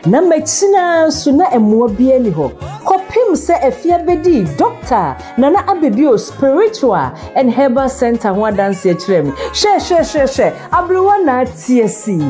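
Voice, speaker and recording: Very animated high-voiced Ghanaian woman advertiser. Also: normal speed. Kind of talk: animated radio-style sales pitch. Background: faint music.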